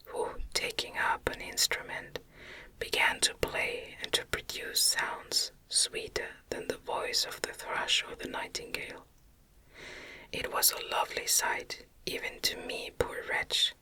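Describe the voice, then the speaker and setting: Female ASMR narrator for classic gothic fiction: fully whispered, very crisp and bright, gentle delivery. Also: low-quality recording. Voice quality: breathy, unvoiced whisper. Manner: gentle, unhurried, careful literary reading. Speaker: audiobook narrator, ASMR reader.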